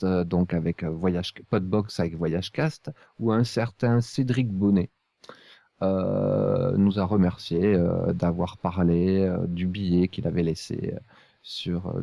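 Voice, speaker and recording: A man hosts a relaxed podcast in a deep, gravelly voice. The tone is mellow and the delivery hesitant, with a halting flow. The sound is close and dry. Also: no room sound. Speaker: podcast host. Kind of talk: casual podcast hosting with chat.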